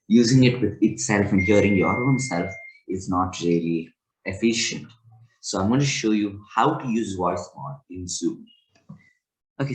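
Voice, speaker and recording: A man giving a loose, rambling software tutorial and correcting himself as he goes. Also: low-pitched, low-quality recording. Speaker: tech channel host. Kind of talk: casual screen tutorial.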